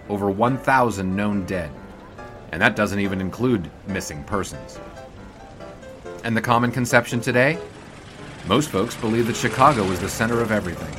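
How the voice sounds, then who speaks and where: A man's voice: on the low side, close to an everyday voice. An actor playing a cocky, flippant 1920s sportswriter in a period audio drama, wry and old-fashioned in his storytelling. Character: 1920s sportswriter, cocky, flippant charming man looking back. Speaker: narrator and lead character. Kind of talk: scripted period audio drama narration and dialogue.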